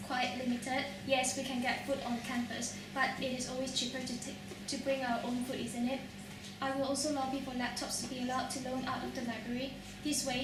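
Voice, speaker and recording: A young woman from Malaysia giving a prepared student election speech, recorded from a distance. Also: high voice. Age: young adult. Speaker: student officer standing for election. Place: large echoey room at a public hustings. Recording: very echoey, distant mic, noisy.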